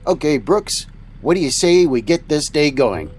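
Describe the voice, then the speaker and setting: A man's voice: fairly high pitch, big pitch swings, normal speed, a little mellow. A man playing a boyish, easygoing trucker captain in a comedy audio drama, with a casual, playful way of talking. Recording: faint vehicle noise behind.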